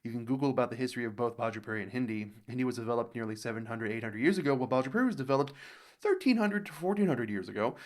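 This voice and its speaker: A man's voice: mid-range voice, racing. Rambling American man reading comments aloud. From United States. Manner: rambling, thinks aloud with many restarts. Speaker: reaction video host.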